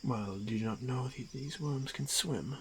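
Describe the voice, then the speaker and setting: Orc warrior , male performer: confident hunter, slow solemn delivery with gravel, fantasy roleplay audio over outdoor night ambience. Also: mid-range voice, a bit bright, a little hiss or hum. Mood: matter-of-fact, explaining. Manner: measured, halting, terse phrases with solemn pauses.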